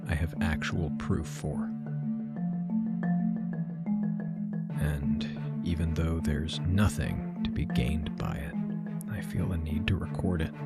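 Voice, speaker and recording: Self-deprecating computer scientist, extremely low voice, gravelly fry, deadpan, audio drama monologue, prominent music bed. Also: male voice, measured pace. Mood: wry, confessional. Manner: dry, deadpan, confessional. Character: wry, self-deprecating computer scientist recounting a secret discovery. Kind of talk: first-person fiction podcast monologue.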